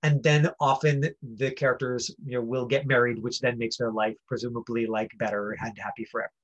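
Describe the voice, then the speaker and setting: A man's voice: medium pitch, flat delivery, brisk, a bit bright, poor audio. A man teaching as an acting coach, informal and rambling, often checking in with his listeners as he explains and directs. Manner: rambling, checks understanding with "right?".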